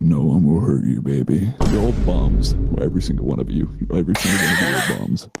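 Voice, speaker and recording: Extremely deep, gravelly voice chat player. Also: expressive, fairly quick, darkish tone, a little hiss or hum. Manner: repeats a soothing line over and over. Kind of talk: online game voice chat.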